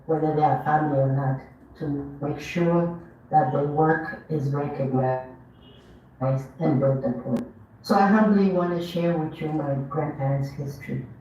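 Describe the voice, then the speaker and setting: A speaker from Ethiopia giving an earnest, measured commemorative speech at an event, lifting their voice on key words. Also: medium pitch, darkish tone, slight background noise. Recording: dull, echoey hall, mic far off.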